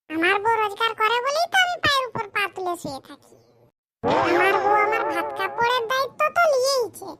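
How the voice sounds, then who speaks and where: A performer voicing a lazy, boastful, hard-drinking husband in a comedy cartoon, with a rural West Bengal accent and a pitch-shifted voice. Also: background noise. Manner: colloquial rural speech, boastful, cajoling. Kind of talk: comedy cartoon skit dialogue.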